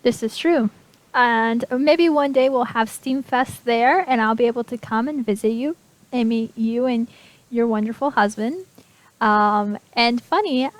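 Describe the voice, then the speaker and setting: A woman's voice: fairly high pitch, no echo, poor audio. Chatty woman host, dry close sound. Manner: bubbly, chatty, laughs often. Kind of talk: casual internet radio chat.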